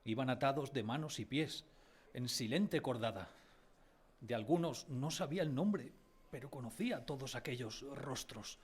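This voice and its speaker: An actor playing a grave narrator who recalls a village childhood in solemn, measured, literary radio-drama narration. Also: male voice, medium pitch, animated, husky. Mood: grave, sombre. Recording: faint music behind. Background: faint music.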